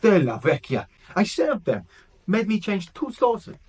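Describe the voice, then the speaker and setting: A young hotel waiter in a radio drama. His voice is high for a man and very animated. He is eager and deferential, over faint café noise. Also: a little slow, heavily acted. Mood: boastful chat.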